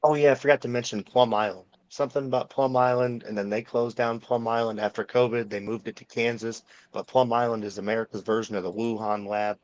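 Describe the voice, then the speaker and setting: A man's voice: mid-range voice, fairly even intonation, a little fast, hardly any pauses, a little mellow, no echo, low-quality recording. A man co-hosting a casual talk show, rambling insistently, repeating himself and swearing. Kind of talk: casual rambling conspiracy chat.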